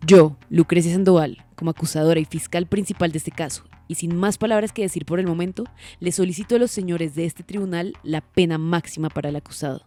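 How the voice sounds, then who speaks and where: An actress playing a stern, sanctimonious prosecutor, declaiming formally in an audio drama. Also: female voice, on the low side, a little fast, hardly any pauses, warm tone, close to an everyday voice. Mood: solemn, accusing. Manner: formal, declaiming, reading out charges and questions. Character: stern, sanctimonious female prosecutor at a sham trial. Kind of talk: audio drama courtroom acting.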